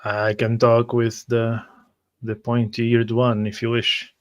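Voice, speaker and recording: A man roleplays at a tabletop game with a flat, even delivery. His middle-pitched voice sounds close and dry, and he speaks politely and carefully, with hesitations. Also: takes it slowly, no room sound. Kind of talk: improvised in-character roleplay and describing actions.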